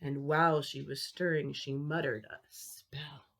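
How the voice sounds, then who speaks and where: A woman in her thirties reading a picture book aloud as a teacher, expressively and with drawn-out key words for children. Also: deep voice, level tone.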